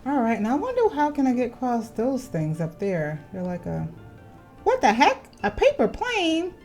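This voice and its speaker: A woman's voice: a little high, wildly swooping pitch, moderate pace, a little mellow. A woman casually talking through a video game as she plays, chatty and playful. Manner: chatty, playful, reads game text aloud. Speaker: gaming video host. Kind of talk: casual gameplay commentary. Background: faint game music.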